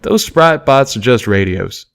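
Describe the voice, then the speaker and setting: A man's voice: mid-range voice, lively intonation, unhurried, creaky. A man voicing a sassy, blunt mare pony in an audiobook, with folksy, slangy talk. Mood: dismissive.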